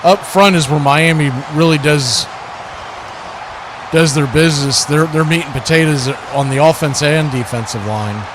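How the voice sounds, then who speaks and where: High-voiced man calling football. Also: moderate pace. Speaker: play-by-play host of a sports watch party stream. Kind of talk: live football commentary. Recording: crowd and outdoor game noise behind.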